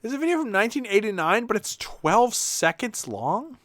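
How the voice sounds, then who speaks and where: A man's voice: high voice, big pitch swings, moderate pace, fairly bright tone, faint music behind. A man livestreaming in a casual, blunt, sweary way as he reacts to videos. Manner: blunt, sweary, mock-exasperated. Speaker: streamer.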